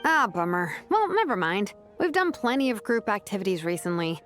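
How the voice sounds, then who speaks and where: A woman's voice: medium pitch, very animated, a little fast, soft background music, natural voice. A woman playing a friendly, easygoing young woman in an anime-style game, casual and upbeat.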